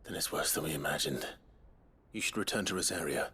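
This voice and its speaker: A man's voice: extremely low pitch, lively intonation, brisk, pushed and bright, slightly rough, full character voice. An actor playing a grim, resolute noble swordsman in a video game, restrained and terse. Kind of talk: video game cutscene acting.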